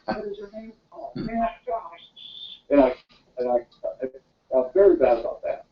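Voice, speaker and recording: A man's voice: on the high side, big pitch swings, normal speed. Rambling man in his fifties, roomy distant sound. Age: 50s. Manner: repeats words and restarts often. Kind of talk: rambling casual chat. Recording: dull roomy audio from afar.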